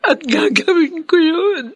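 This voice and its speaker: Distraught wife, slow and tearful. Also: female voice, extremely high pitch, expressive, dry recording, clean studio sound, full character voice. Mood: anxious, resolute. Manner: tearful, emphatic, drawn-out. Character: distraught, devoted wife and mother searching for lost children. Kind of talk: Filipino radio drama melodrama.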